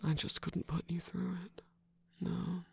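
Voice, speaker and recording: Casting: tender, teasing villain sweetheart for romantic ASMR performer of unclear sex, very husky half-whisper with a creaky edge. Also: mid-range voice, level tone, a little slow, heavily acted. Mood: teasing, scolding. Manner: tender, soft-spoken, teasing. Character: affectionate villain lover, battle-scarred, gently scolding and reassuring. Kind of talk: intimate ASMR romantic roleplay.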